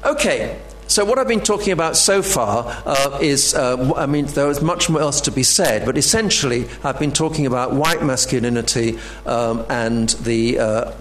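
A man giving an academic lecture, halting and full of fillers and restarts. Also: medium pitch, a bit bright.

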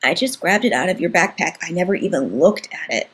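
A woman voicing an earnest, timid young boy who speaks quietly and slowly to his older sister. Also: on the low side, animated, husky, exaggerated voice. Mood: nervous.

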